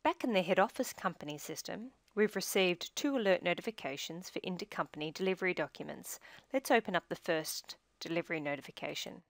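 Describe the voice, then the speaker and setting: Woman, slightly low pitch, scripted tutorial narrator, measured instructional delivery, dry close-miked sound. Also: creaky, no room sound. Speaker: software demo narrator.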